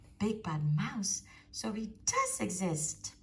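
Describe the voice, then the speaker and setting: A woman voicing a curious, brave young female Gruffalo child in a storybook, asking eager questions in a raised voice. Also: mid-range voice, wildly swooping pitch, slow pace, fairly bright tone, voice pushed up, full character voice.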